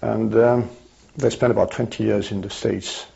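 An older man with a French accent, a native French speaker, recalling his life in an oral history interview, pausing often with fillers and restarts. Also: lowish voice, fairly even intonation, gravelly, mellow. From France. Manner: hesitant, many fillers and restarts. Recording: dull lo-fi archival tape.

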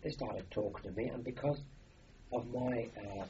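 A man's voice: medium pitch, moderate pace, dark tone, a little hiss or hum. An older man from Austria, a native German speaker, telling a rambling oral-history story as an interviewee, with many fillers and restarts. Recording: dull lo-fi recording.

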